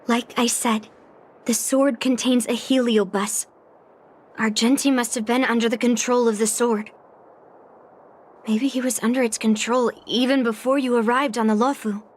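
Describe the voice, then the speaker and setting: A woman's voice: a little high, fairly even intonation, normal speed, close to an everyday voice. A woman playing a headstrong young sword fighter in a video game, forthright and insistent. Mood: determined, urgent. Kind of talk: dramatic video game voice acting.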